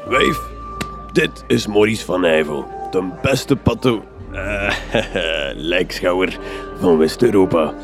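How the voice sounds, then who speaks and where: Deep, slow-talking gruff Flemish detective. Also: male voice, animated, music in the background, full character voice. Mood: gruff jovial. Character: gruff, foul-mouthed male detective and coroner. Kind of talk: radio drama acting.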